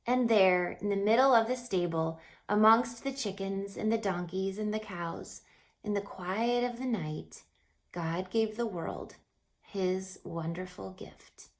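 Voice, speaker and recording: A woman reading a story aloud to children in an expressive, dramatic way, with emphatic phrases and hushed moments. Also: medium pitch, unhurried. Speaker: storybook reader.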